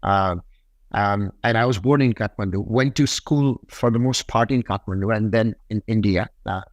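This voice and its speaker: Halting Nepali-accented man in a dry interview. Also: lowish voice, dry recording, muffled recording. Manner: hesitant, filler-heavy, halting phrasing. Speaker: podcast guest, tech company executive. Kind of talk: conversational interview answers.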